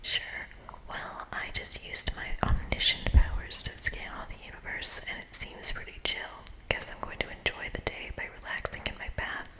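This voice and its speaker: A woman voicing a laid-back, girly goddess of light in a whisper, casual and chummy, with an echo effect. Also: moderate pace. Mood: exasperated, relaxed. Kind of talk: whispered ASMR game read-aloud.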